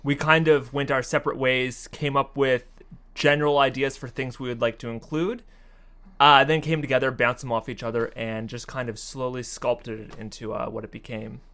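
Man, interview guest, crisp smooth voice, mid pitch, conversational. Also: steady tone, moderate pace, a bit bright. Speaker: interview guest, audio drama creator.

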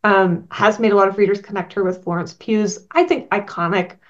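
A woman's voice: mid-range voice, steady tone, brisk, highs cut off. A woman being interviewed as a comic writer, earnestly explaining her work at length with frequent ums. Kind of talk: thoughtful casual interview talk.

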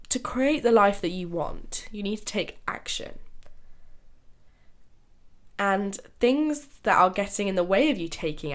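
A young woman hosting her own podcast, sounding nasal because she is ill, chatting casually, laughing often and restarting sentences. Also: mid-range voice. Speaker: podcast host. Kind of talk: casual solo podcast talk.

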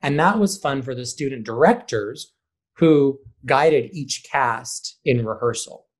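A man answering interview questions conversationally as a high school theater director, stressing points by repeating words. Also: animated, a little slow.

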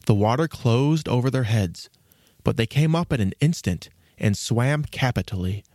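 Man, storybook narrator, mid-pitched, lively varied intonation, expressive, scripted, dry recording. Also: normal speed, no echo.

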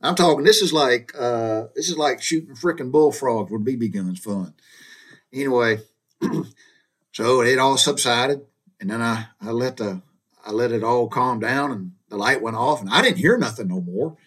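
A man's voice: mid-range voice, normal speed, a bit bright, slightly rough. Rambling middle-aged man telling stories. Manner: rambling folksy storyteller, chuckles and mild cursing. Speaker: podcast host. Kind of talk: casual storytelling monologue.

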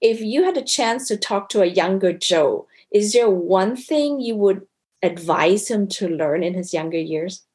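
A woman's voice: medium pitch, lively intonation, unhurried. A woman interviewing a guest on her podcast, asking a long question and affirming his answers. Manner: warm, affirming short replies. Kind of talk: casual interview.

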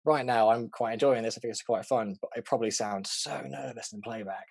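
A young man from England with a neutral English accent, a fast-talking, chatty interview guest on a video call, full of fillers and quick laughs. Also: medium pitch, vocal fry, breathy edge, no room sound, studio quality. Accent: English, neutral southern. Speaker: interview guest, art director.

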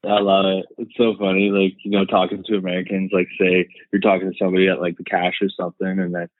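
Casual guest: Canadian man, thirties, low voice, rambling and easygoing, heard over a thin phone-like line. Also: completely flat delivery, fast talker, no echo. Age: 30s. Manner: rambling, many fillers and laughs. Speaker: podcast guest. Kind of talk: casual chat.

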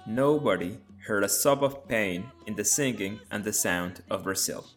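A man, a native Spanish speaker, narrating and explaining song lyrics as a host in a measured tone. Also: on the low side, soft background music. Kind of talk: scripted narration.

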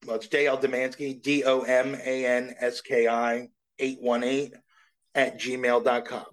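A man, a voice actor, talking casually in an interview, modest and halting, with many restarts and fillers. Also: mid-range voice, flat delivery. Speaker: voice actor guest.

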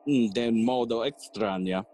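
An actor playing a jolly, cheeky gravedigger who riddles and teases in a theatrical bilingual Spanish-English Shakespeare audio play. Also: male voice, mid-range voice, unhurried, mellow, lightly acted. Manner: teasing, sighing and chuckling, theatrical.

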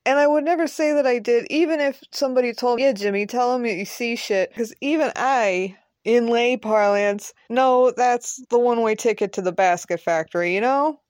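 A woman's voice: fairly high pitch, moderate pace, hardly any pauses, darkish tone, dry recording, pitched above the performer's own voice, natural voice. A woman voicing a brash, streetwise male ex-convict with a blunt, slangy, aggrieved manner in an audio drama. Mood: indignant.

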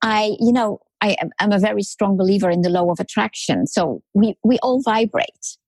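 Husky-voiced woman from France, emphatic. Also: mid-range voice, expressive, normal speed, darkish tone, no echo, poor audio. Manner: emphatic, repeats words for rhythm. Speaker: podcast guest. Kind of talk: storytelling interview talk.

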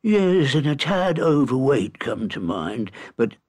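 A man voicing an excitable, pompous old male professor shrunk into a tiny insect, stammering and indignant. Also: mid-range voice, slow, voice pushed up. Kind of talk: audiobook character reading.

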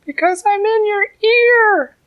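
A man voicing a tiny, brave female ant who talks high and earnest. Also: slow, dull audio. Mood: shouting up. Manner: shouts up high and earnest, pleading her case.

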